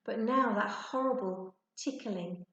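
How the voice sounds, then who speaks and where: A woman giving an expressive children's storybook narration, like a teacher reading to her class. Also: on the high side, takes it slowly, highs cut off. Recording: echoey untreated room.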